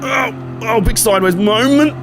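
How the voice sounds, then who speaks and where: A man with a slightly hoarse voice from a cold, casually commentating his own racing game with jokes and swearing. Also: very high voice, moderate pace, over music. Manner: chatty, jokey, sweary, reacting aloud to the race. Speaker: gaming channel host.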